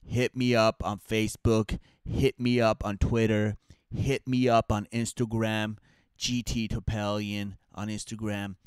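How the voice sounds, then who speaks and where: A man's voice: on the low side, flat delivery, unhurried, clean tone, dry recording, clean studio sound. A man with an Armenian accent co-hosting a comedy podcast, chatting casually in a rambling, mock-boastful way. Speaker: comic and podcast co-host.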